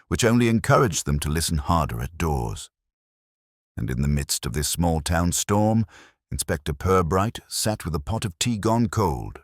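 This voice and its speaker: A man reading a literary mystery story as narrator, in a measured, dryly wry manner. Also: rumbling low, dry recording, studio quality.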